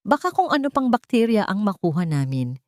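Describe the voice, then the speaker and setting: A woman's voice: medium pitch, sing-song, fairly quick, voice pushed up, lightly acted. Woman narrator playing spoiled young Filipino sister-in-law; sneering, dismissive, swooping intonation, drama storybook. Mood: sneering contempt.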